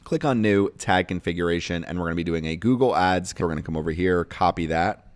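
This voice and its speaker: A man's voice: low voice, quick pace, no room sound. A man hosting a casual screen-share tutorial, explaining each step in a plain-spoken, instructional way. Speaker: tutorial host and online course creator.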